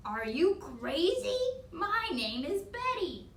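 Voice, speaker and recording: A woman's voice: very high voice, sing-song, takes it slowly, reverberant, recorded from a distance, slight background noise, pitched above the performer's own voice, heavily acted. A woman voicing an indignant, furious female mountain monster in a children's storybook. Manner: indignant exclamations.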